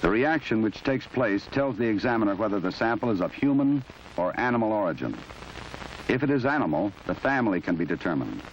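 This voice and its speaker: A man reading formal, measured, instructional narration for a training film, in a precise scripted documentary style. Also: lowish voice, very animated. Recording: muffled, noisy old 1970s film soundtrack.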